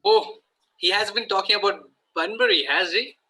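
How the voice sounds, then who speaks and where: A man playing an irritable, stern Victorian gentleman guardian in an amateur table read of a stage comedy, scolding in an exasperated way. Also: high-pitched, wildly swooping pitch, moderate pace, gravelly, heavily acted, low-quality recording.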